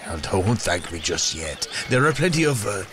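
An actor playing a gruffly kind man in a fantasy audio drama, giving instructions in a brusque manner. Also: on the low side, sing-song, normal speed, noisy background. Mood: brisk, instructing. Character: gruffly kind working man helping a young newcomer.

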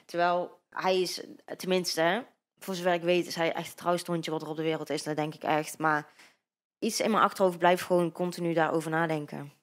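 A woman's voice: lowish voice, little pitch movement, a little fast. A 22-year-old woman with a Dutch accent, a guest in a casual interview, talking hesitantly with many fillers and restarts into a handheld mic. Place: bright living-room studio with sofa. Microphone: handheld microphone.

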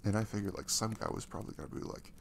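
A man playing an affectionate, dorky young boyfriend in an ASMR roleplay, speaking softly and breathily, often near a whisper, in a halting, tender way. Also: fairly low pitch, gravelly, slight background noise. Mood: stunned.

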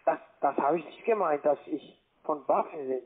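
Male cold caller, non-native with foreign accent, high voice, halting and pushy, phone-quality sound. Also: slightly rough. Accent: foreign accent in German. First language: not German. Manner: halting, repetitive, badgering, broken grammar. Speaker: cold caller from a call centre. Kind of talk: argumentative phone conversation. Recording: narrow, tinny phone line.

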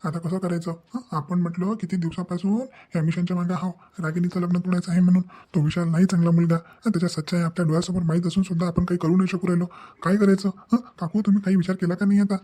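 A man's voice: sits high, little pitch movement, fast talker, hardly any pauses, mellow. A man voicing a disheartened, exasperated young family man in a cartoon, fretting and repeating himself as he rambles. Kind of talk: cartoon comedy-drama dialogue.

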